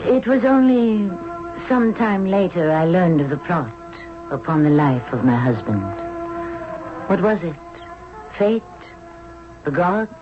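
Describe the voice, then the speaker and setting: A woman's voice: lowish voice, animated. An actress playing a proud, vengeful young Egyptian queen, narrating dramatically in a 1970s radio drama. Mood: grave, questioning. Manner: slow, dramatic, portentous narration. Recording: dull old radio recording, music behind.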